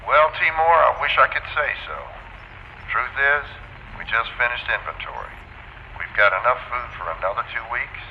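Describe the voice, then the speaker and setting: A man's voice: mid-range voice, normal speed, lo-fi sound. A man acting in an audio drama as a plain-spoken astronaut, talking frankly and folksily over a radio link. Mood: frank, resigned. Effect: radio transmission filter. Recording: band-limited radio, reverberant, faint noise.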